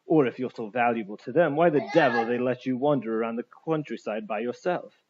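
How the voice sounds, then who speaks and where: A man's voice: medium pitch, a little fast, dull audio, noisy background, lightly acted. A man voicing a suave, menacing aristocratic army captain in an audiobook, polite, formal and mocking. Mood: scornful, probing. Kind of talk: audiobook character voice.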